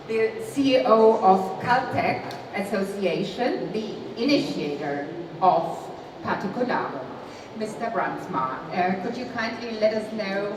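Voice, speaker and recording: A woman moderating a press conference, polite and a little hesitant, pausing on fillers. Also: mid-range voice. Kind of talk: formal moderating. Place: large historic hall. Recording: echoey hall, distant mic, background noise.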